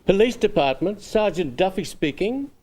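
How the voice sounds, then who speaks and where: A police desk sergeant in a radio thriller. His voice is a little high for a man. He sounds brisk, condescending and unconcerned. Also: sing-song, a little mellow. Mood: businesslike. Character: dismissive, busy police sergeant on desk duty. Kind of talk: suspense radio drama acting.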